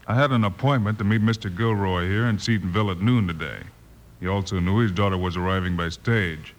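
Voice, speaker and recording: An American actor playing a steady, commanding Old West marshal in a radio western, plain-spoken and authoritative. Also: male voice, deep voice, brisk, close to an everyday voice. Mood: businesslike. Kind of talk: 1950s radio western acting. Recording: old radio recording, faint noise.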